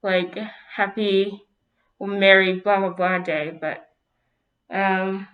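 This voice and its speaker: Teen girl from Zimbabwe, raised in South Africa, mid-pitched, slow and hesitant student interviewee. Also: flat delivery, highs cut off. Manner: unhurried, hesitant with fillers. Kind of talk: casual interview answers.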